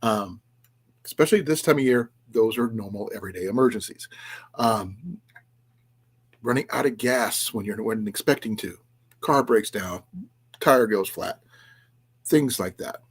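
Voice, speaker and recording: A man with a slightly raspy voice hosting a casual livestream, talking in a halting, rambling way with restarts and trailing asides as he chats with viewers. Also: fairly low pitch. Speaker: livestream host of an outdoors and preparedness channel. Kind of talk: casual livestream chat, reading and answering viewers' comments.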